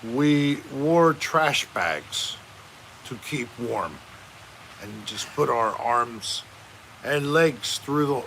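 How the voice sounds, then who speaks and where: A man voicing a goofy old Icelandic man who tells rambling tall tales in a slow, loud way. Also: medium pitch, lively intonation, slight background noise, exaggerated voice, low-quality recording.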